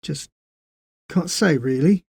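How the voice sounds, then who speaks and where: A man's voice: on the high side, slow, gravelly, a little mellow, husky, no room sound, full character voice. A man voicing a blunt, suspicious working-class British soldier in an audiobook story, slangy and questioning. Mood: hesitant.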